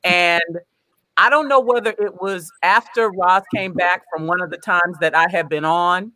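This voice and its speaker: A woman telling a lively anecdote in casual chat on a video call. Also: fairly low pitch, normal speed, rough recording. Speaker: guest performer.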